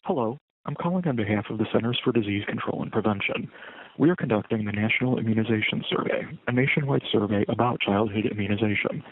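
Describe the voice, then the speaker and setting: An American man reading a formal, measured scripted voicemail announcement as a survey caller. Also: lowish voice, husky. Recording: tinny phone voicemail playback.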